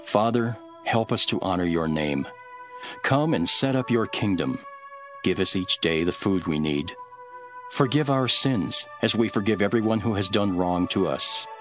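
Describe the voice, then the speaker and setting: An actor playing a calm, authoritative male teacher telling parables in an earnest, deliberate scripture drama. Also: deep voice, soft background music. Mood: prayerful. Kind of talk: dramatised scripture reading, measured storytelling.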